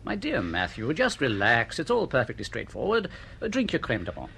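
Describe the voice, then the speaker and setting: A man's voice: medium pitch, wildly swooping pitch, brisk, pushed and bright, slight background noise, exaggerated voice. An actor playing a suave, condescending male literary agent in a radio drama, wordy, formal and coolly unruffled. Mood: unruffled, patronising. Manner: smooth-talking, patronising, wordy and formal.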